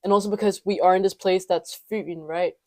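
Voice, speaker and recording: Late-teen female co-host, relaxed and giggly, lowish warm voice, dry sound. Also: brisk, dry recording. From Denmark and Thailand. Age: late teens. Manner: relaxed, giggly, hesitant with fillers. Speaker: podcast co-host. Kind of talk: casual chat. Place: student apartment.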